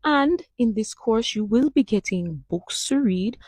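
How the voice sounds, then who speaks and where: A woman's voice: on the high side, lively intonation, unhurried, a little mellow, no echo. A woman giving a playful mock lecture that welcomes students to a course. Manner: teacherly, announcing a course. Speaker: social media creator.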